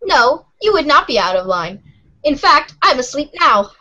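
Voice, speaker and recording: A woman's voice: high-pitched, sing-song, normal speed, pushed and bright, lo-fi sound, exaggerated voice. A woman voicing an earnest, cryptic, friendly teenage girl who explains things casually in an amateur readers' theater. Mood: patient, matter-of-fact.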